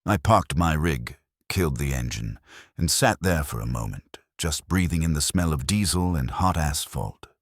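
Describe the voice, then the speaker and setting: A man with an extremely low voice narrates horror in the first person. His delivery is grave and suspenseful, yet the intonation moves a good deal. The sound is dry and close, with a slightly rough, unpolished edge. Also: expressive, normal speed, no room sound. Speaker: narrator of horror stories, posing as a trucker.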